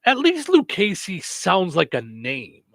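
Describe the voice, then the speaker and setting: A male radio host with a somewhat high, gravelly voice, chatting loosely on air. He wanders through anecdotes with plenty of fillers and restarts, like the comedian he is. The sound is close and dry, straight off a studio microphone. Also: huge pitch swings, slow, a bit bright, no echo. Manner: rambling storyteller with many fillers and restarts. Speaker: stand-up comedian. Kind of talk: casual on-air radio chat.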